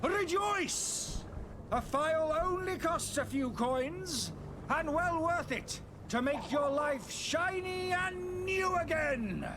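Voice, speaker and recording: A man playing a pompous, theatrical charlatan in a video game, selling potions with smooth, grandiose showman's patter. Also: extremely high pitch, lively intonation, slow, noisy background, exaggerated voice. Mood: showy salesman zeal.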